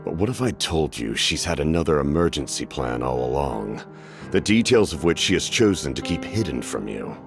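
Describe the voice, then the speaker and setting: An actor playing a grave, calculating military captain in a video game, speaking in a formal, measured and courteous way to persuade. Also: male voice, extremely low pitch, big pitch swings, vocal fry, over music, exaggerated voice. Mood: grave, ominous. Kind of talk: English game voice acting.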